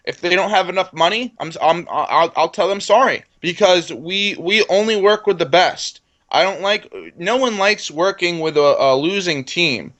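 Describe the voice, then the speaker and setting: Confident young man, slightly high voice. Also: normal speed, fairly bright tone. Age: 20s. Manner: confident, repeats words when starting sentences. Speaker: entrepreneur guest. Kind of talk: casual interview talk.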